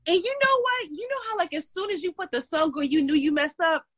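A woman fitness instructor telling a story in casual chat, animated and laughing often. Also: very high voice, a little fast, dry recording, lo-fi sound.

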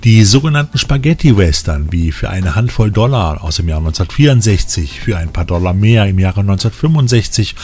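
A man's voice: lowish voice, lively intonation, brisk, hardly any pauses, a little mellow. A man reading scripted narration as a podcast host. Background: background music.

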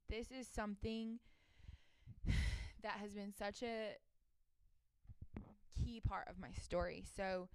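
A woman's voice: mid-range voice, clean tone, dry recording. A woman in her twenties hosting a solo podcast, talking casually and earnestly in an encouraging, emphatic, conversational monologue. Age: 20s.

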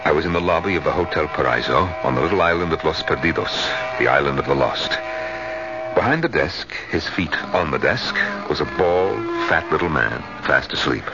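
An actor playing an eager but uneasy middle-aged husband in a radio drama. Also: male voice, a bass voice, animated, brisk, music in the background. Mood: narrating. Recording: muffled, noisy 1970s radio recording.

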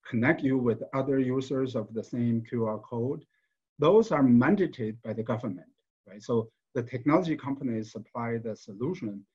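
Halting man with a husky voice, from China. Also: medium pitch, mellow. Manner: halting, with frequent repeated words and fillers. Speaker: professor. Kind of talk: panel talk. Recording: slightly dull, lo-fi sound.

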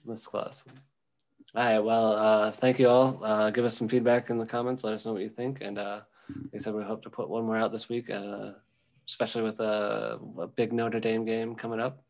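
A young man co-hosting a podcast, chatting casually about football in a rambling, halting way with many ums and restarts. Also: lowish voice, level tone, a little mellow, highs cut off. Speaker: podcast co-host. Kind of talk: casual sports chat.

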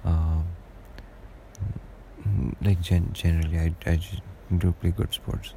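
A young man with a deep voice, rambling through a casual solo podcast monologue with lots of hesitations. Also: level tone, slow, dark tone, slight background noise. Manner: rambling and hesitant, many fillers and restarts. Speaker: podcast host.

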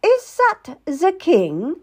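A woman's voice: high-pitched, wildly swooping pitch, extremely slow, darkish tone, voice pushed up, heavily acted. A woman storyteller voicing a proud, bitter, wronged queen who speaks imperiously and spits out her words with emphasis. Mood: drowsy, curious.

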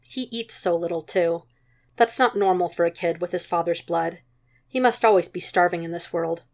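A woman's voice: lowish voice, normal speed, a little mellow. A woman reading a story aloud as scripted narration, in the manner of an amateur audiobook. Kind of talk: scripted narration of fan fiction.

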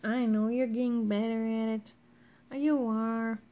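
A woman's voice: on the high side, little pitch movement, measured pace, mellow. A woman from Ohio co-hosting a casual podcast about her relationship, chatty and a bit defensive. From Ohio, USA. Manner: chatty, defensive, laughs often. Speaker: podcast co-host. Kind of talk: casual couple chat.

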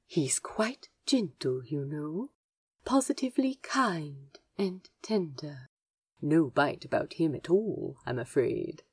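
A woman playing a gentle, smitten, politely teasing man in audio fiction, speaking with precise, soft phrasing. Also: low voice, lively intonation, takes it slowly, breathy edge, dry recording, clean studio sound, full character voice. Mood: tender. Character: male Aziraphale, gentle, delighted, teasing, smitten know-it-all.

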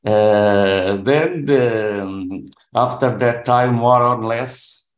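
A man in his seventies from Portugal, speaking English with a Portuguese accent, introducing himself in class in a halting way with many restarts. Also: on the low side. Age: 70s. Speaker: language student. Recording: roomy echo.

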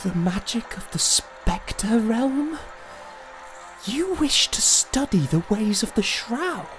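Woman acting cheeky young prince, very slow-paced. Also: on the high side, big pitch swings, over music. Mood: wistful. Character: mischievous, cheeky young prince, dreamy and defiant. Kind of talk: audio fantasy drama acting.

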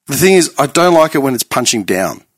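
A man's voice: medium pitch, brisk, slightly rough, no room sound, clean studio sound. A man, talking casually and emphatically with a lot of swearing. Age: late 30s. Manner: animated, profane, emphatic. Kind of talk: casual podcast chat.

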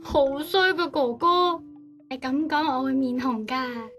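A sweet, girlish young starlet with a high, coy voice and a Hong Kong Cantonese accent. It is radio drama acting over music. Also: measured pace, a bit bright. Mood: coy, bashful.

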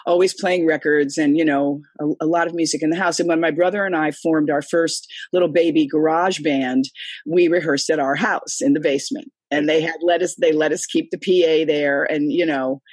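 An older woman tells stories from her career in a husky, slightly breathy voice. She talks in a loose, chatty way, circling back with fillers and restarts. The sound is dry and close, with no room around it. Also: deep voice, a little mellow, no echo. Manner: chatty, rambling storyteller with many fillers. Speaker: singer and guest. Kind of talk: casual interview conversation. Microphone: remote call, computer.

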